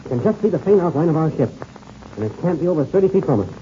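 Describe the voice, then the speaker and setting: A man playing a steady, fatherly veteran pilot in a 1930s radio serial, folksy in manner, on an old radio recording. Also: fairly high pitch, very fast, lo-fi sound, a little hiss or hum. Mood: confident.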